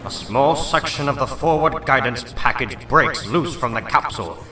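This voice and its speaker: A man playing a grave comic book narrator, reading scripted narration in a dramatic, deliberate, suspenseful way. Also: mid-range voice, reverberant, background noise, lightly acted.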